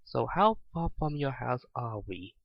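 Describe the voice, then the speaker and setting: Man reading as a blunt, confused pony heroine, unhurried. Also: mid-range voice, animated, creaky, dry recording, muffled recording. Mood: blunt, unsure. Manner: blunt, casual. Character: brash, confused young female pony heroine.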